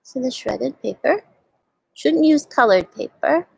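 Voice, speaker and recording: A woman hosting a storytime for young children, explaining and reading aloud in simple words with little questions, in a slightly husky voice. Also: high voice, animated, unhurried, dry recording, rough recording. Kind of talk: show-and-tell and read-aloud for young children.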